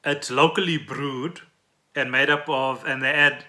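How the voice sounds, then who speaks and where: A man's voice: mid-range voice, fairly even intonation, a little slow, pushed and bright. A South African man hosting a podcast, explaining trending news in a conversational way and often checking in with the listener. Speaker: podcast host.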